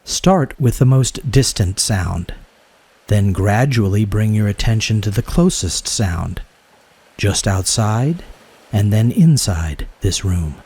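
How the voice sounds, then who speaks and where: A man guiding a yoga nidra meditation in a soothing, unhurried way, speaking in short phrases. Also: fairly low pitch, expressive, dark tone, breathy edge. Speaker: guided meditation teacher.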